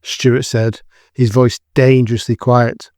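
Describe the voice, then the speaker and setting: A man's voice: mid-range voice, level tone, takes it slowly, a little mellow, no room sound. Man narrating an audio drama, dry studio sound.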